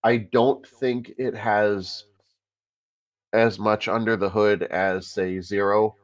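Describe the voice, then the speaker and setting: Stuffy-nosed guy co-hosting a tech podcast, lowish voice, lots of uhs, on a call. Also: steady tone. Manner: halting, many filler sounds. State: congested from a cold. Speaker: podcast co-host. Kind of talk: casual tech chat.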